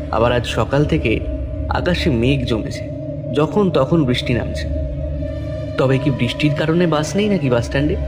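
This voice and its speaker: A man narrating a scripted first-person horror story. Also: a little high. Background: music.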